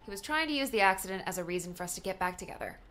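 Candid young daughter played by an actress, wry and conversational, medium-pitched, for audiobook drama. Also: female voice, animated, racing, a bit bright. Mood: candid, subdued.

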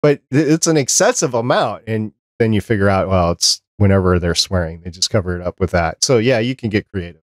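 Low-voiced middle-aged man, dry close sound. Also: lively intonation, a little fast, few pauses, no room sound. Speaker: podcast host. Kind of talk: conversational interview and advice. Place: small cluttered home office, video call. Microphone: desk microphone close up, headset.

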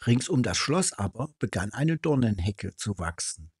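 A man's voice: lowish voice, very animated, normal speed, darkish tone, husky, no echo, studio quality. A man reading a fairy tale aloud as a storyteller. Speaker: storyteller, channel host.

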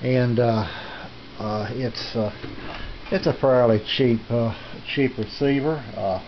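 A man with a mid-pitched voice talks haltingly through a casual hobby video. His delivery rambles and is unscripted. The sound is dull camcorder audio from a small room, with faint background noise. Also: fairly even intonation. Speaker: radio hobbyist host. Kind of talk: casual handheld video tour. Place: small indoor home radio room. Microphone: handheld camera's built-in mic.

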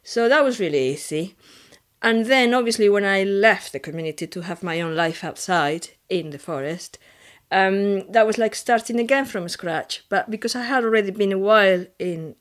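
A woman in her forties with a strong Spanish accent, from the Canary Islands, telling her life story in a relaxed, chatty way with restarts, recorded at home. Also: on the low side. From Canary Islands, Spain. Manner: chatty, with frequent restarts and "you know". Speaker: interviewee. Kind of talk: reflective personal storytelling. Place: living room at home, indoors.

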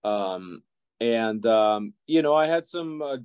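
Low-pitched Serbian man, measured. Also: expressive, darkish tone, smooth, no echo. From Serbia, former Yugoslavia. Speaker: interview guest, violinist and music educator. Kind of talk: conversational interview answers. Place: office or teaching room, indoors. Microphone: video call.

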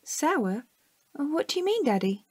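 A woman's voice: sits high, very animated, measured pace, no echo. A woman with a British accent voicing a curious, earnest young child questioning a parent in an audio drama. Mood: earnest, concerned. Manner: inquisitive, childlike.

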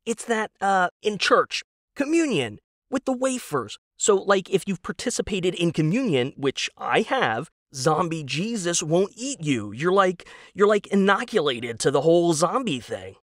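Man playing a whiny, defensive husband, pitched up. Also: sing-song, measured pace, no echo, studio quality. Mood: defensive, explaining. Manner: hesitant, stammering, defensive. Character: defensive, petulant young husband, argumentative and whiny. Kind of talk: audio drama storytelling.

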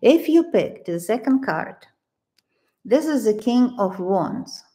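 A woman in her sixties with a Ukrainian accent, giving a tarot reading in a halting, deliberate, gentle way. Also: on the high side, animated. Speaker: tarot reader. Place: living room, indoors.

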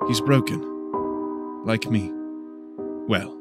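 A man's voice: fairly low pitch, takes it slowly. A man reading scripted fiction as a dramatic audiobook narrator. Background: faint music.

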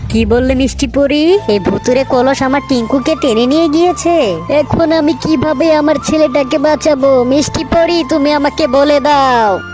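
A woman's voice: high voice, normal speed, close to an everyday voice. A woman voicing an anxious mother bird pleading for her child in a children's cartoon. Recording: clear music behind the voice.

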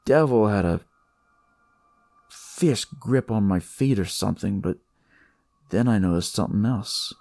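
A man's voice: medium pitch, warm tone, no echo. A man voicing a detective who tells his story in the first person, conversational and theatrical, as in a dramatic audio story. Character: male detective recounting a sinister, eerie discovery. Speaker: storyteller narrating in character. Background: music.

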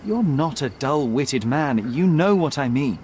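An actor playing a young detective in a game, articulate, precise and self-assured. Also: male voice, medium pitch, animated, a little slow, darkish tone, a little hiss or hum, lightly acted. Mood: confident, probing. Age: young adult. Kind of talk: dramatic game cutscene dialogue. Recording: faint night ambience behind.

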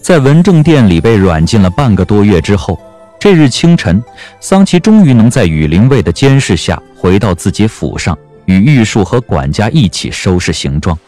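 A man's voice: lowish voice, big pitch swings, moderate pace, dark tone. A man narrating a scripted audio drama in a dramatic storytelling style. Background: background music.

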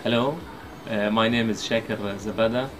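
A man's voice: on the low side, normal speed. A man from Palestine introducing himself as a researcher, speaking evenly with a few fillers. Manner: even, with fillers. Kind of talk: short self-introduction.

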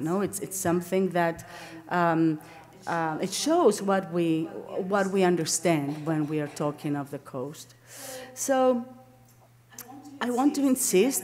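Low-pitched Greek-accented woman lecturing. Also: a little mellow. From Greece. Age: middle-aged. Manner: halting, searching for words, self-correcting. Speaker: academic lecturer, landscape architect. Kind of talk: academic seminar lecture. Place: indoor lecture room, at a lectern.

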